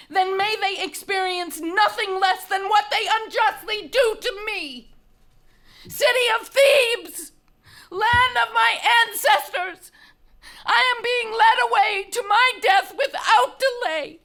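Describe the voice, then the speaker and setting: A woman's voice: very high voice, sharp tone, heavily acted. A woman playing a proud, grieving, condemned young princess in a dramatic reading of Greek tragedy, declaiming with anguish. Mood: defiant pleading.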